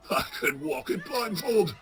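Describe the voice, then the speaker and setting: A woman playing an anxious, sentimental old male miser in a radio play, stammering and halting with emotion. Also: a little high, very animated, sharp tone, exaggerated voice. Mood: nostalgic awe.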